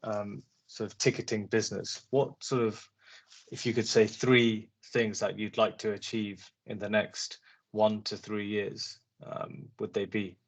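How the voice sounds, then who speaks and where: A man's voice: on the low side, level tone, measured pace. A man asking questions as an investor on a conference call, polite and hesitant, often rephrasing himself.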